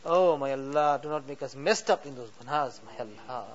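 A man leading an emotional supplication as imam, pleading with rising cries that fall to soft endings. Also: mid-range voice, fairly quick. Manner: mixes English with Urdu and Arabic words. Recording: dull lo-fi recording.

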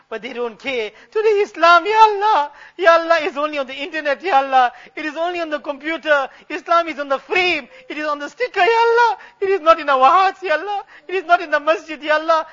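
Man, very high and bright, fervent prayer leader with a pleading, repetitive delivery; dull lo-fi recording. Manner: fervent, pleading, repeating the refrain. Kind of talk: impassioned supplication. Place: gathering of worshippers.

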